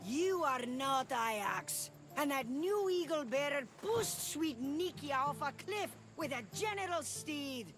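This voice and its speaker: A woman's voice: high-pitched, lively intonation, takes it slowly, few pauses, music in the background. An actress playing a scornful village woman calling out accusations in a video game. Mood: accusing. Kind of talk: video game cutscene acting.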